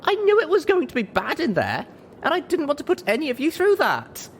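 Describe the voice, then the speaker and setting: Man putting on a very high young mare heroine. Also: huge pitch swings, brisk, slight background noise, heavily acted. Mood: desperate, apologetic. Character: young female pony heroine, weary, desperate, apologetic. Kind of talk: audiobook character voice.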